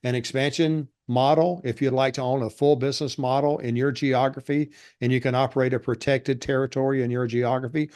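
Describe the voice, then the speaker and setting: Monotone man explaining steadily. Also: medium pitch, fairly quick, hardly any pauses, clear, resonant tone. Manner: steady explanatory delivery with fillers. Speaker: guest, real estate investor and educator. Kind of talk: casual interview talk.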